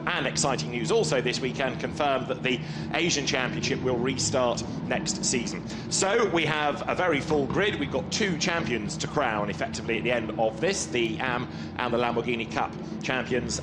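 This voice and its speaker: A man commentating on a motor race from a commentary booth, explaining the rules in a measured, informative way. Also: medium pitch, background noise.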